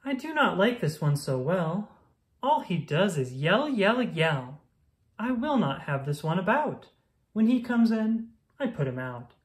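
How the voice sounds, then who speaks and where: A woman reading a rhyming children's picture book aloud in a playful, sing-song, expressive manner. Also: medium pitch, a little slow.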